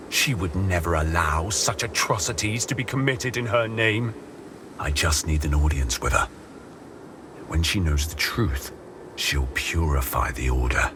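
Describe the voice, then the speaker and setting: An actor playing a solemn, devout and wary holy knight in a video game, speaking gravely and formally. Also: male voice, extremely low pitch, background noise. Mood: troubled, resolute. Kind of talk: video game dramatic acting.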